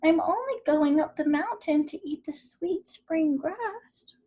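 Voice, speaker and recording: A woman voicing the smallest billy goat, a tiny timid creature with a squeaky, pleading voice. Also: animated, takes it slowly, dark tone, heavily acted.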